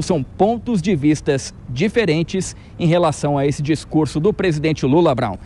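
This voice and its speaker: A Brazilian man reports live from the street in a slightly high voice. He speaks into a handheld mic as a field reporter, with faint outdoor noise around him. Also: mellow. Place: outdoors at night in front of a large building. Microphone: handheld microphone.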